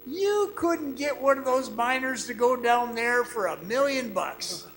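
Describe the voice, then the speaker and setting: An actor playing a cocky young mine guide in a radio drama, folksy and coaxing, moving between narration and dialogue. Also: male voice, very high voice, animated, unhurried, a bit bright, slight background noise, exaggerated voice, poor audio. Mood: excited. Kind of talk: old-time radio drama acting, part narration, part dialogue.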